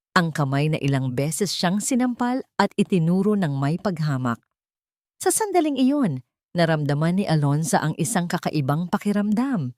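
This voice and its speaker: Lady storyteller doing dramatic love story narration, lower voice, no room echo. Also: a little mellow, clean studio sound.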